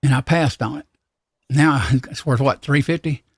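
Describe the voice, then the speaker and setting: A man hosting a casual livestream chat, talking in a rambling, halting, folksy way. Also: mid-range voice, slightly rough, dry recording, studio quality. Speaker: livestream host.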